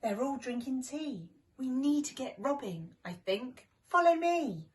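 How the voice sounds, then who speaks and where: A woman's voice: high voice, animated, unhurried, darkish tone, distant mic, voice pushed up. A woman voicing a cheeky, scheming male robber dog in a theatrical storybook style. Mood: sneaky. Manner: exclamatory, sly, theatrical storybook delivery.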